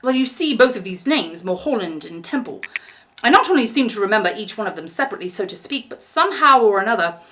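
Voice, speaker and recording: A woman reading a storybook aloud as a polite, puzzled young lodger, a young man who talks in an earnest, halting, musing way. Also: medium pitch, expressive, fairly bright tone, slight background noise, close to an everyday voice.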